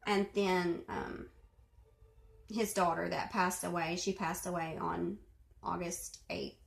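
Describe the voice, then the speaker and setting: A young woman from Arkansas chatting casually to camera at home, with lots of fillers and throat clearing. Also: lowish voice, flat delivery, takes it slowly. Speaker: video host responding to a reading. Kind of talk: casual chat. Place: bedroom at home. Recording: roomy echo.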